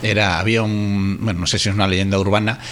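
A man with a fairly deep voice talks quickly in a casual radio discussion. He has a Castilian Spanish accent and an explanatory, anecdotal way of talking. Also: level tone, fairly bright tone, slight background noise. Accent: Castilian Spanish, Spain. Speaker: radio show participant.

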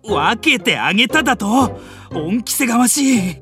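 A man's voice: very high voice, very animated, unhurried, heavily acted. A man playing a proud, stiff and irritable young older brother in an audio drama, blunt and indignant in manner. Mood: angry, flustered. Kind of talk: animated Japanese audio drama acting. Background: music and animal sounds.

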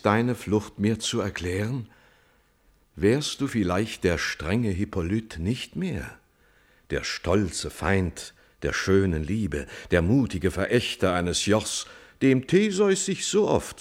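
An actor playing a prince's loyal older mentor, gently probing in measured, declamatory verse in a classical radio tragedy. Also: male voice, fairly low pitch, lively intonation. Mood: probing, earnest.

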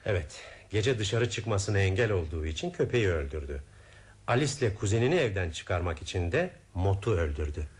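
A composed radio-drama detective with a low voice that moves widely in pitch. He sounds methodical and probing, laying out each point like a careful investigator. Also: big pitch swings, fairly quick. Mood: explaining gravely. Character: composed, methodical male detective explaining a murder case. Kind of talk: Turkish radio theatre acting.

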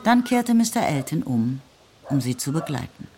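A woman narrates a classic novel in a measured, storytelling way. Her voice is low and deep for a woman. Clear music plays underneath her. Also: big pitch swings. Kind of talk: scripted literary narration.

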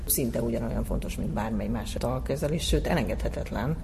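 An interviewer on location, commenting and putting questions to experts. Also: medium pitch. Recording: echoey hall with clear background noise.

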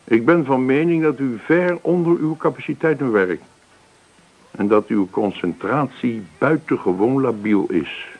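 A male actor playing a stern university professor in a radio play, formal and measured as he reproves. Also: medium pitch, phone-quality sound. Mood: stern, reproving.

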